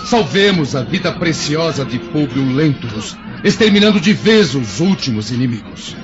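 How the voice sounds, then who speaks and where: A man's voice: mid-range voice, big pitch swings, normal speed, natural voice. A man playing a young, zealous Roman military commander in an audio drama, calling out heroic, declamatory orders. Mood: urgent, heroic. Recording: dull lo-fi audio under loud music.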